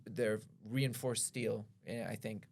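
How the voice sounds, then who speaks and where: Guy from israel, bit of vocal fry, slow careful explainer on a podcast, stops and rephrases a lot. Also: lowish voice, fairly even intonation, a little mellow. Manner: measured, explains at length with pauses and self-corrections. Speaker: podcast guest, attorney. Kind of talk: explanatory conversation.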